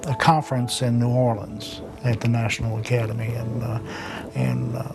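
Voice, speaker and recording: Guy talking in a documentary, normal pitch, music bed behind him. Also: flat delivery, normal speed, gravelly. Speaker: interviewee, emergency dispatch center director. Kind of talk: documentary interview, recounting experience.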